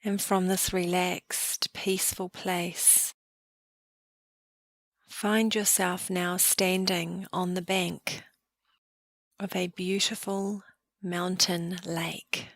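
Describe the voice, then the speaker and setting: Woman doing guided meditation, lowish voice, really dry close sound, calm n gentle. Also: no echo. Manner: calm, gentle, unhurried phrasing with soft trailing endings. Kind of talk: guided meditation, slow soothing narration.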